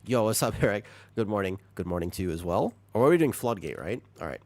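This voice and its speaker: A man streaming a video game, chatting with viewers, reacting with excited high bursts and then dropping into quiet asides. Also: fast talker. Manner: animated, jumps into high excited bursts while reading chat; drops to low, quiet asides while setting up. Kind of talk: live-stream chat while gaming.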